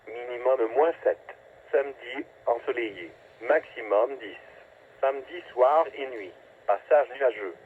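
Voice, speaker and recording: Casting: male automated weather announcer, mid-pitched, clipped scripted delivery, heard through a narrow, noisy radio channel. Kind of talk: scripted weather forecast reading. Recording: tinny phone-like weather radio, static noise.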